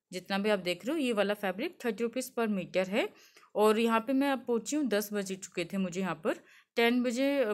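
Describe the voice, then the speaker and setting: A young woman from Delhi talks through a street-market tour while holding her phone, pointing out clothes and prices. She speaks in a chatty, easygoing way, mixes English words into her talk and fills pauses with many fillers. Her voice sits in a middle pitch. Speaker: shopping vlogger. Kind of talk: walking market tour, showing goods to camera. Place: crowded outdoor street market. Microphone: handheld phone, speaker off-camera.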